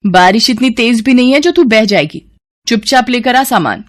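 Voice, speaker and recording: A woman voicing a cruel, scolding aunt who orders a child around in a sharp, commanding way, in a storybook tale. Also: on the high side, lively intonation, normal speed, pitched above the performer's own voice, lightly acted. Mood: bossy, irritated.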